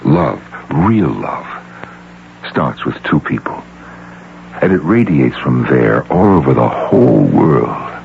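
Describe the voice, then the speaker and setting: A tender, persuasive tycoon in a radio romance. His voice is extremely deep and gravelly with lively inflection, on a muffled old recording. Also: slow pace, heavily acted. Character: tender, persuasive wealthy industrialist suitor. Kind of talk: old-time radio drama acting. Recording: muffled, lightly noisy radio broadcast tape.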